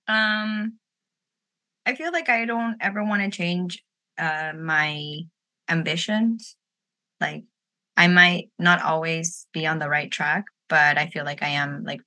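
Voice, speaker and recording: A woman's voice: deep voice, unhurried, bright, forceful tone. A woman in her late twenties hosting a casual roundtable podcast, talking informally and candidly with a playful streak. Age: late 20s. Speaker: podcast host.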